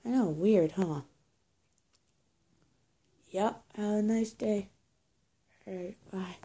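A woman's voice: mid-range voice, slow pace, noisy background. A young woman acting out an improvised roleplay on her own, speaking from behind a scarf with a slightly muffled voice. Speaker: amateur roleplay video creator. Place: small plain indoor room. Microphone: phone or webcam at arm's length.

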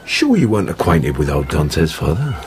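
An actor playing a talkative, shabby innkeeper in a radio drama, gossipy and ingratiating. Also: male voice, rumbling low, sing-song, normal speed, faint music behind. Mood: mournful gossiping.

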